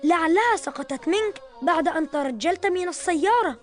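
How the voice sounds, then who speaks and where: A woman's voice: very high voice, animated, brisk. A woman voicing a caring, dependable boy in a children's cartoon, earnest and considerate in formal Arabic. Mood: concerned.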